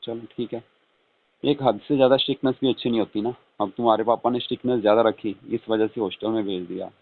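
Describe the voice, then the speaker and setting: The speaker is a middle-aged man with a fairly low-pitched voice. He talks informally and often ends statements with a short question. The sound is narrow and thin, as on a telephone call. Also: steady tone, quick pace. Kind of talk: casual phone chat. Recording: tinny, narrow phone-call audio.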